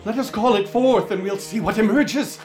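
A man plays a high-voiced, fervent occult seeker in a radio play, urgent and theatrical. Music runs beneath his lines. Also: moderate pace, close to an everyday voice. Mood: tense. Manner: urgent, theatrical, calls out to a spirit. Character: excitable occultist man named Callum, intense. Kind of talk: radio drama acting. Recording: music bed under dialogue.

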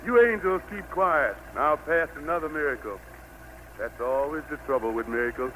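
God as a genial southern country daddy, bright forceful voice, kinda high, old noisy radio play. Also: male voice. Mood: commanding. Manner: folksy, commanding, unhurried. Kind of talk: 1940s radio play acting.

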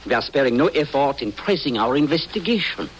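An actor playing a polite, deliberate European police inspector with formal, precise speech, in old-time radio drama style. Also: male voice, medium pitch, very animated, natural voice. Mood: formal, careful. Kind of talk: 1940s-50s radio drama acting. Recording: slightly noisy old radio recording.

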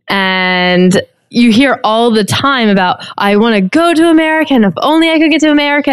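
A woman interviewing a guest in casual conversation, chatty and quick to laugh. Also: medium pitch, smooth, no room sound.

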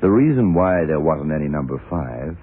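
An actor playing a blunt, self-assured police detective in a 1970s radio drama, questioning deliberately and methodically. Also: male voice, rumbling low, sing-song, husky, narrow, tinny audio, heavily acted. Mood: accusing, assured. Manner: deliberate, probing, punctuated with yeah and right. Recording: noisy, band-limited old radio recording.

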